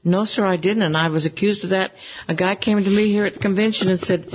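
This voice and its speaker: An older woman with a low voice, chatting as a guest in an interview and gently telling stories. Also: fairly even intonation, quick pace, breathy edge. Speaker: interview guest, gospel singer. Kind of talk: casual interview conversation. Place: music convention. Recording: slightly dull lo-fi recording.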